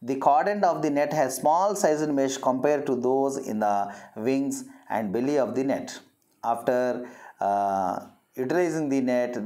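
A woman's voice: rumbling low, darkish tone. A woman lecturing as a zoology teacher, explaining in a didactic way and restating her phrases.

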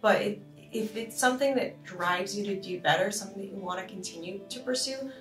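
A woman's voice: medium pitch, a little fast. A woman from Boston, co-hosting a casual podcast panel and telling stories with lots of laughter. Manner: animated, laughing storyteller. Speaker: podcast co-host. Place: conference room around a table. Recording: roomy, distant with faint music.

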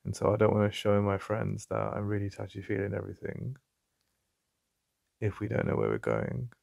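A man with an English accent playing a jealous, possessive yet vulnerable boyfriend in an intimate ASMR roleplay, halting and conversational with a gravelly voice. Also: on the low side, very animated, a little mellow, no echo, clean studio sound, heavily acted. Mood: annoyed, defensive. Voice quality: gravelly, creaky. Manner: halting, repeating himself, conversational. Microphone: close, dry studio-style mic.

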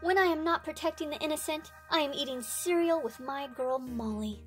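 A woman's voice: sits high, a little fast, soft background music, close to an everyday voice. A woman voicing a boastful superhero bunny from a storybook, reading in a declamatory way. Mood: proud. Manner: declamatory read-aloud.

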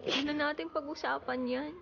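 A woman's voice: high-pitched, fairly even intonation, a little fast, gravelly, fairly bright tone, slight background noise, heavily acted. A woman acting a young wife kept at home by a jealous husband, pleading and tearful, in a radio drama. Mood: hushed sorrow. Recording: lo-fi radio broadcast with music.

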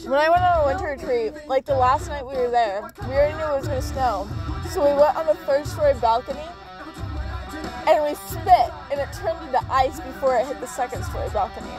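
A young woman chatting excitedly with a friend on a road trip, laughing often, recorded on a phone inside a car at night. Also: high voice, fairly quick, music in the background, low-quality recording. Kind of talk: casual chat in a car.

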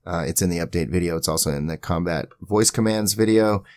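A man hosting an informal screen-recording software tutorial, rambling a little as he thinks aloud. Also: sits low, fairly quick. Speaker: tutorial host.

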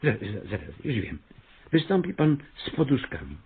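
An actor playing a pompous, persuasive avant-garde theatre director in a Polish radio comedy, with a grandiose, coaxing manner. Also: male voice, medium pitch, wildly swooping pitch, normal speed, breathy edge, phone-quality sound, exaggerated voice.

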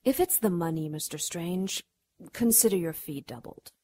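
An actress playing a mysterious, self-assured seductive client in a noir radio drama, speaking in a formal, measured, commanding way with a husky voice. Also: female voice, lowish voice, warm tone. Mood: cool, persuasive.

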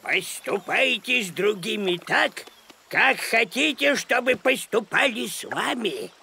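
A man voicing a kind, earnest animal peacemaker in a children's cartoon, gently admonishing. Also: high voice, lively intonation, slow pace, vocal fry, slight background noise, exaggerated voice. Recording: music behind the voice.

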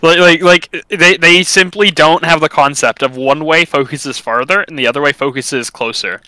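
A man's voice: on the high side, moderate pace, bright, forceful tone, dry recording. A man chatting casually and jokingly with teammates over noisy game voice chat. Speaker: gamer on team voice chat.

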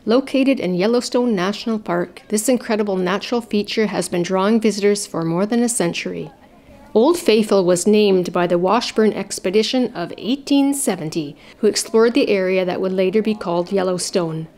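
A woman reading a scripted documentary narration in an even, informative way. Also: mid-range voice, moderate pace.

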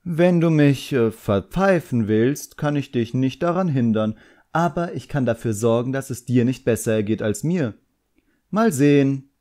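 A man's voice: medium pitch, animated, mellow, pitched above the performer's own voice, lightly acted. A man voicing a clever, defiant boy, a magician's apprentice, in an audiobook. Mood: hesitant, scheming. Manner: hesitant, drawn-out threats.

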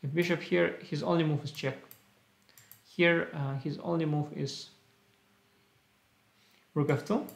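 Young man, Russian-tinged English accent, gravelly, thinking aloud in clipped phrases, echoey small room. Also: on the high side, fairly even intonation, measured pace, long pauses. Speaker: chess streamer. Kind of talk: casual live-stream chat while solving puzzles.

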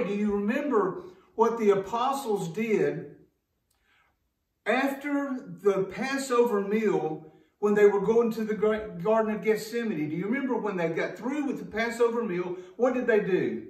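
A man's voice: sits high, lively intonation, measured pace, a little mellow. A man preaching a sermon as a pastor, in an emphatic, folksy way with rhetorical questions. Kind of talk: sermon to a congregation. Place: church sanctuary, indoors at a wooden pulpit. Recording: echoey room, distant microphone.

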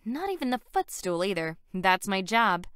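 A woman's voice: medium pitch, big pitch swings, moderate pace, a bit bright, clear, resonant tone, no echo. Woman playing a stubborn young woman, dry close recording. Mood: defiant. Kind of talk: visual novel voice acting.

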